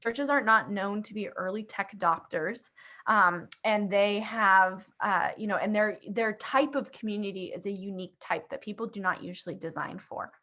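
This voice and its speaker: Woman, 30s, host of a video call; bright, friendly, conversational, lots of "um". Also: medium pitch, normal speed. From Afghanistan and Pakistan. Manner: upbeat, conversational, many fillers. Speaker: host presenting a church software platform. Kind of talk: casual video call presentation.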